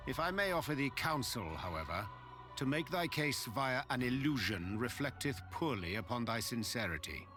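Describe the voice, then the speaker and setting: An actor playing a calm, courteous counselor in a video game, with formal, measured, archaic diction. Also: male voice, medium pitch, close to an everyday voice. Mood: grave, composed. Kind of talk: dramatic video game voice acting. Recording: loud music behind.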